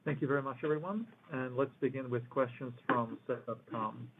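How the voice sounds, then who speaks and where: A man moderating a formal question session, reading out submitted questions in a halting way. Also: mid-range voice. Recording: noisy thin phone line with clicks.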